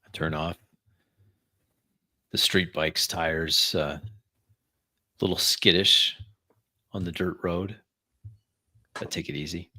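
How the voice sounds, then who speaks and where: A man playing a tabletop roleplaying game, narrating his actions and speaking in character in an unhurried, deliberate way. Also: low voice, fairly even intonation, bright, forceful tone. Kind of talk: improvised in-character roleplay and first-person narration.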